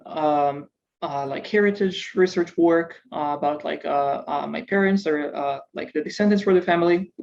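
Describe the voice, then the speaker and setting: A man's voice: fairly high pitch, normal speed, vocal fry, a little mellow, muffled recording. A startup founder from Israel, a man, answering interview questions hesitantly, with many filler sounds. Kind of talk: casual interview over video call.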